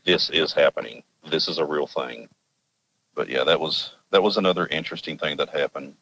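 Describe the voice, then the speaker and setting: A man's voice: extremely low pitch, a little fast, pushed and bright, rough recording. A man from Arkansas with an American accent, casually telling a personal story in an informal, rambling, wry way. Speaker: podcast guest telling personal experiences.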